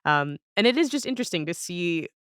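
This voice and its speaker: A woman's voice: lowish voice, big pitch swings, fairly quick, clean tone, no room sound, clean studio sound. A woman hosting a casual podcast interview, speaking in a reflective, agreeable and informal way.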